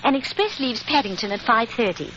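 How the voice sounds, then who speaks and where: Woman as worried genteel british lady, high swoopy voice, muffled old radio recording. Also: animated, brisk, noisy background, lightly acted. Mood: earnest. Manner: earnest, genteel, confiding. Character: anxious, emotional young aristocratic English lady. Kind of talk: 1940s radio acting.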